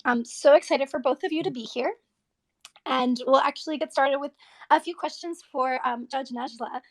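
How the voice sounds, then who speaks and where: A woman hosts an interview podcast and talks eagerly, with frequent ums and restarts. Her voice sits fairly high, with a gravelly, creaky edge at the ends of her phrases. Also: moderate pace. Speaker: host.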